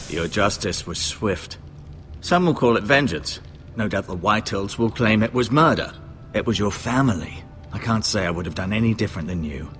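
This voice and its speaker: An actor playing a grave older castle healer in a fantasy game, speaking in a measured, formal, musing manner with dry gravity. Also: male voice, fairly low pitch, a bit bright. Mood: calm, attentive. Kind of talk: dramatic video game acting.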